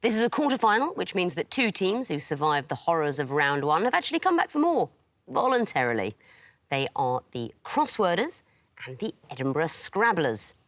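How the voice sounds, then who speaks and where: A woman's voice: on the low side, big pitch swings, normal speed. Arch, teasing woman quiz host. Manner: arch, teasing, crisp delivery. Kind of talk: scripted quiz hosting with dry teasing. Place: television studio.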